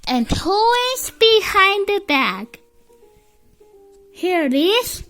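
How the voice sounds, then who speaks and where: A reader with a childlike voice reads a children's picture book aloud haltingly, stumbling over and repeating words. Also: very high voice, very animated, bright, forceful tone, faint music behind. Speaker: storybook reader.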